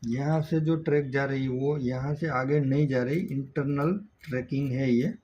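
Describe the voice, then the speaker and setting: The recording is slightly muffled with faint noise behind it. A man with an Indian accent and a mid-pitched voice teaches a hands-on repair lesson. He explains each step and regularly checks in with a short tag word. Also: fairly even intonation, moderate pace, mellow. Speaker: auto electrical repair instructor. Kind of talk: hands-on technical tutorial. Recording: dull lo-fi sound, faint background noise.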